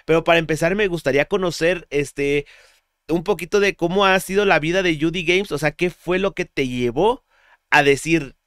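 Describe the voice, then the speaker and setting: A man with a Mexican Spanish accent hosting a podcast interview, relaxed and slangy, with many fillers. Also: fairly high pitch, moderate pace, clear, resonant tone, dry recording, studio quality. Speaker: podcast host. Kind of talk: casual interview chat.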